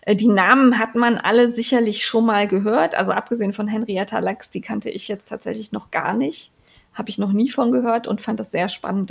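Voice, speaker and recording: A woman's voice: fairly high pitch, vocal fry, breathy edge. A woman co-hosting a podcast, chatting casually with frequent fillers and restarts. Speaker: podcast co-host.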